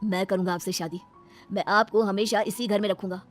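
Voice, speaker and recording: A woman's voice: mid-range voice, steady tone, a little fast, natural voice. A woman voicing a devoted, resolute young brother-in-law in a cartoon family melodrama, reassuring and insistent, with music behind.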